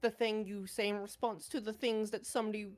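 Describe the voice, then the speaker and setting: A woman's voice: medium pitch, little pitch movement, a little fast, a little mellow. A woman bantering casually and jokingly as a guest player, with music in the background.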